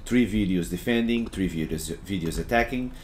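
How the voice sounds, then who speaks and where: A young man from Portugal with a Portuguese accent, giving a relaxed, halting game tutorial at home with lots of fillers and restarts. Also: sits low, a little hiss or hum. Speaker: gaming tutorial creator. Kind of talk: casual game tutorial commentary. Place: home, at his computer.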